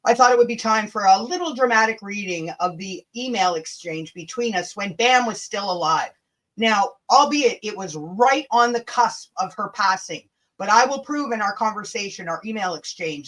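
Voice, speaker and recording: A woman's voice: mid-range voice, fairly bright tone, low-quality recording. Sarcastic Canadian woman livestreaming. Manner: sarcastic, sweary, drawn-out emphasis. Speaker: livestream host. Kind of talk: casual, rambling livestream chat with mocking asides.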